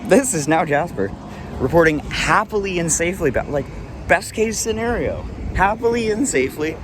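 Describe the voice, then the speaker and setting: A man's voice: on the high side, lively intonation, moderate pace, over music. A man vlogging outdoors at a bus stop, talking in an indignant, rambling way full of restarts. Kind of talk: rambling vlog monologue. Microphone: handheld camera. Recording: clear street traffic noise. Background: passing road traffic.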